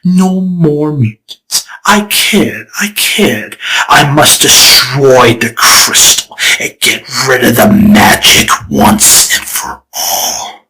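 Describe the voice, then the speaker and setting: A man's voice: mid-range voice, lively intonation, sharp tone, poor audio. A man voicing a scheming, theatrical male chaos spirit in a fan comic dub. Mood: theatrical menace. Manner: dramatic, teasing, slow and deliberate. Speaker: storyteller figure.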